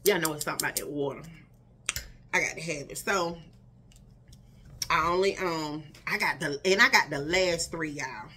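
A woman's voice: low-pitched, moderate pace, long pauses, a little hiss or hum. A woman, chatting casually to camera in her living room, talking straight to her viewers. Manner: chatty, animated, addresses viewers as y'all and girl. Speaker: vlogger. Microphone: camera in front at desk distance.

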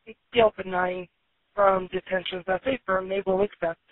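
A woman's voice: mid-range voice, fairly even intonation, normal speed, dry recording. A woman working as a sheriff's dispatcher, speaking in a clipped, procedural way over radio and phone. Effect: radio and phone line. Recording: hissy, narrowband dispatch line recording.

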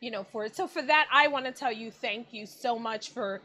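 Woman interviewer, high bright voice, gushing, on a webcam call. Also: moderate pace. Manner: effusive, admiring, many exclamations. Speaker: magazine editorial director, interviewer. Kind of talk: interview host on video call. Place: indoors in front of a plain wall. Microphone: video call webcam.

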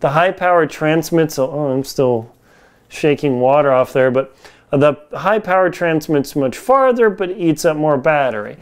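Clear, higher-pitched man chatting through a review. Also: lively intonation, measured pace. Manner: informal, conversational, slangy. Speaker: product reviewer. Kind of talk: casual review chat to camera. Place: small home kitchen, indoors. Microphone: lapel mic.

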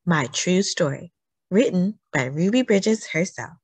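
A woman reading a children's book aloud in an expressive storytelling style, with deliberate phrasing and emphasis. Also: fairly low pitch, slightly rough, no room sound.

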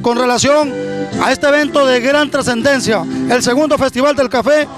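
Male street reporter, high-pitched, outdoors over festival music. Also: fairly even intonation, normal speed. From Honduras. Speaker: field reporter. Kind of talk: TV news field report. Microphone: handheld mic.

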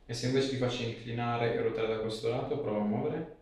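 A man in his thirties runs a clinical consultation, asking questions and giving instructions. His voice sits at a middling pitch. The small treatment room echoes heavily, and he is picked up from a distance. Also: steady tone, fast talker, a little mellow. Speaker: osteopath examining a patient. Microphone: camera mic a few metres away.

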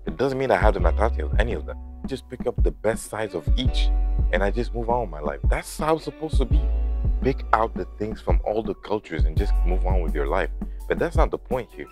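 A man's voice: on the low side, animated, fairly quick, music in the background. A young man in his twenties talking to camera in a loose, rambling vlog, full of "you know" and "like", recorded on a lapel mic indoors in a house. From grew up in Lebanon. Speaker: vlogger.

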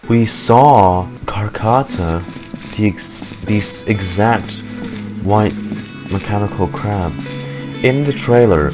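A young man giving casual, low-key commentary while reacting to a video game in his room on a desk mic. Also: takes it slowly. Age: young adult. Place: small home room. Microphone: desk mic on a boom arm. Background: game music.

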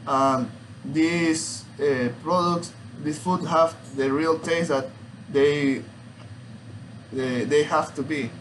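Slow, halting young man presenting. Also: fairly high pitch, fairly even intonation, background noise. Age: young adult. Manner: halting, hesitant, searching for words. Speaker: student. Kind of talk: informal student oral presentation. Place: small room at home, indoors.

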